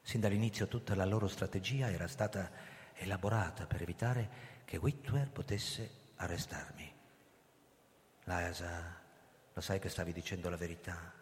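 A man's voice: low-pitched, normal speed, a little hiss or hum, natural voice. An actor playing a desperate hunted man who narrates his own story theatrically in a radio thriller. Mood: hushed, grim. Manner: intense, dramatic, emphatic repetitions. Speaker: actor, lead character and narrator. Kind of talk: theatrical first-person radio drama, narration and dialogue. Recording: long reverberant hall sound.

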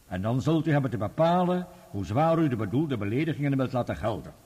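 Formal judge in a reverberant hall. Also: male voice, medium pitch, big pitch swings, a little mellow, slightly rough. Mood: solemn, formal. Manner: formal, measured, declamatory. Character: formal, authoritative judge instructing a jury. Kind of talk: Dutch radio play acting. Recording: long echoing courtroom-style reverb.

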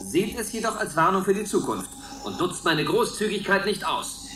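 An actor playing a pompous, strict warden of a space oasis in a radio play, talking in an officious, patronizing manner. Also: male voice, high voice, big pitch swings, moderate pace, fairly bright tone, roomy sound, soft background music. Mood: stern, officious. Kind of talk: German radio play acting.

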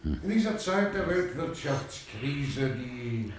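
A man's voice: medium pitch, sing-song, takes it slowly. An actor playing a formal, pompous father giving a long-winded solemn toast at a party in a radio play. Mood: solemn, festive. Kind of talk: radio play, ceremonial after-dinner speech. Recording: echoey hall, distant, faint noise.

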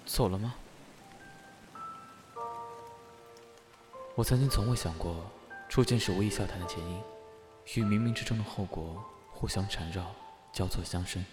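A man's voice: deep voice, a little fast, over music, lightly acted. Prickly young bird spirit played by a man, echoey. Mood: wistful. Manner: grumbling, easily flustered. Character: proud, prickly young male bird spirit in human form. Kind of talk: Chinese fantasy audio drama acting.

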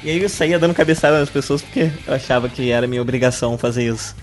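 A man's voice: medium pitch, soft background music. A young man from Brazil co-hosting a casual podcast, joking and reading listener e-mails aloud. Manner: quick, joking, laughs often.

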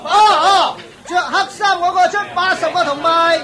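An actor playing a brash, callous slave auctioneer in a radio play, pushy and mocking. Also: male voice, very high-pitched, fairly even intonation, moderate pace. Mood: hawking. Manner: pushy, mocking, sells loudly. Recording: market crowd sound effects behind. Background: crowd murmur.

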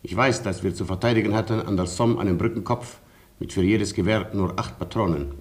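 An actor in a radio drama playing a proud, stoic colonel with a terse, formal manner. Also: male voice, fairly low pitch, fairly quick, close to an everyday voice. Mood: grave, resigned. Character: proud, stoic Polish male colonel, gallant and commanding.

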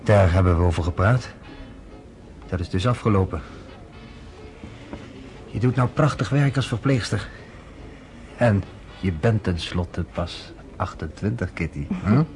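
A laid-back journalist, low-ish voice, wry and persuasive, soft music under it. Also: male voice, animated, measured pace, long pauses. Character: worldly, wry male journalist. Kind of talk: radio play acting.

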